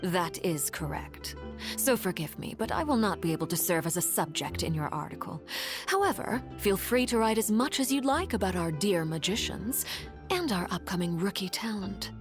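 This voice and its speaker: An actress playing a commanding, enigmatic woman of high rank in a video game, speaking in a measured, formal, coolly intimidating way. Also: lively intonation, music in the background, natural voice. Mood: composed, courteous. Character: commanding, enigmatic female harbinger, called Father by her wards. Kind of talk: dramatic video game voice acting.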